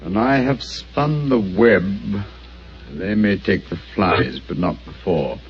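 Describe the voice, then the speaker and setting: A commanding radio-play detective: low, lively, precise, over faint hiss. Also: male voice, slow pace. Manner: crisp, precise, confident. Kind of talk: 1940s radio acting. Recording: muffled old radio broadcast, faint hiss.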